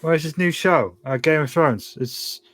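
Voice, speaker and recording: A man playing a tabletop roleplaying game, talking casually in and out of character in a halting, deadpan way. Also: on the high side, dry recording.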